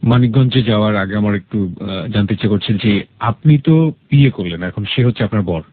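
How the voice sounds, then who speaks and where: A man with a Bangladeshi accent hosting a life-story radio interview, speaking in measured, hesitant phrases with some English words mixed in. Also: lowish voice, breathy edge, no room sound, narrow, tinny audio. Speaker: radio show host. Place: radio studio.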